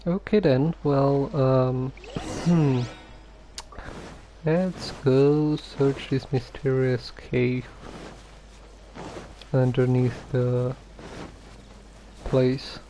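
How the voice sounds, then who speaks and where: A man giving casual livestream commentary on a game, halting and musing to himself. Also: medium pitch, steady tone, warm tone, slight background noise, rough recording. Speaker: game streamer.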